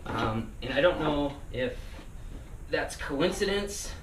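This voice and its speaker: A man talking casually in a personal vlog, rambling with many fillers and restarts, his voice still getting over hoarseness. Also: a little high, lively intonation, slow. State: recovering from lost voice. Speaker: vlogger. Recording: echoey room, mic at a distance, noisy.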